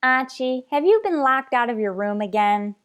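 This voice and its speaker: A woman's voice: sits high, expressive, moderate pace, fairly bright tone, clean tone. A woman playing a sassy, vain tavern girl with a tart, skeptical manner in an old-time radio comedy.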